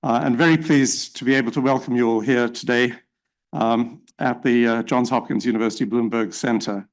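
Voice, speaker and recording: A man's voice: mid-range voice, steady tone. South African man giving formal welcome. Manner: measured, with frequent uh fillers. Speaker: symposium chair.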